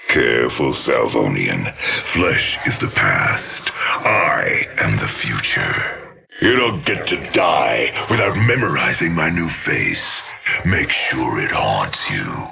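An actor playing a menacing, sadistic robot assassin in a video game, taunting and threatening in a growl. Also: male voice, big pitch swings, takes it slowly, pushed and bright, a little hiss or hum, exaggerated voice. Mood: taunting menace. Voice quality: deep, gravelly growl. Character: menacing robot assassin, sadistic, three-hundred-year-old machine. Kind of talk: video game character voice lines.

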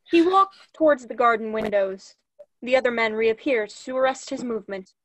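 A woman's voice: fairly high pitch, moderate pace, low-quality recording. A young woman reading stage directions as scripted narration. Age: young adult. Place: room at home, video call.

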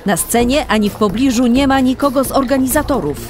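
A woman's voice: high-pitched, fast talker, over music, lightly acted. A woman narrating a children's adventure in a scripted audio drama. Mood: storytelling. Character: female storyteller of a kids' adventure.

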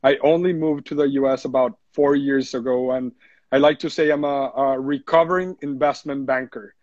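A man from Mexico City, a brand co-founder speaking casually in an interview, relaxed and repeating words as he searches for them. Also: mid-range voice, no echo. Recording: dull dry lo-fi audio room call.

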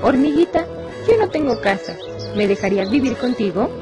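A woman's voice: a little high, sing-song, normal speed, over music. A woman voicing a small, homeless little worm, polite and pleading, in a children's storybook.

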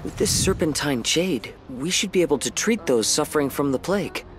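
An actor playing an earnest, gentle priest healer in a video game. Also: male voice, on the high side, expressive, normal speed, natural voice. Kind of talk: video game character acting. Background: music.

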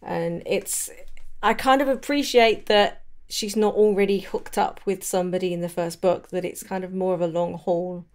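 A woman speaks in a slightly low register. She talks casually, as a co-host in an informal discussion about fiction. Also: fairly even intonation, moderate pace, darkish tone. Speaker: podcast co-host.

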